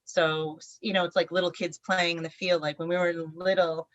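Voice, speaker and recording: A woman's voice: fairly low pitch, flat delivery, a little fast, fairly bright tone, dry recording. A woman talks through her ideas in a loose, reflective way, circling back with fillers and restarts. The sound is dry and close, with no room around it. The audio is dull and lo-fi, like a remote call. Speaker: band singer, interview guest. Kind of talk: casual interview chat.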